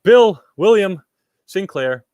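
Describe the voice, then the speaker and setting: A man's voice: high-pitched, expressive, slow pace, darkish tone, no echo, studio quality. Rambling young man on a livestream. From Puerto Rico. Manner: rambling, repeats words, frequent ums. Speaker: livestream host answering chat. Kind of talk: casual livestream chat.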